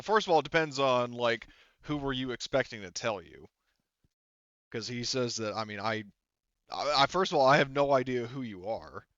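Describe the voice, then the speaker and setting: A man casually running an improvised tabletop game as game master, halting and rambling as he thinks aloud. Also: mid-range voice, fairly bright tone, no room sound, highs cut off.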